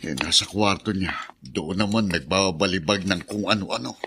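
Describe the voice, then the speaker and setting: A man's voice: low voice, normal speed, very noisy. An actor in a Filipino radio drama playing a hesitant, worried male servant. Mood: uneasy.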